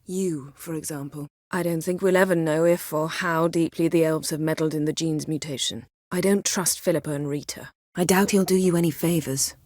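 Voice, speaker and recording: Haughty sorceress for a fantasy game , played by a woman: lowish female voice, imperious, cutting, wry and formal, guarded yet devoted; very dry studio sound. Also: steady tone, normal speed, hardly any pauses, darkish tone, dry recording, close to an everyday voice. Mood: composed, earnest. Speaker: video game character. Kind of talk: acted video game dialogue.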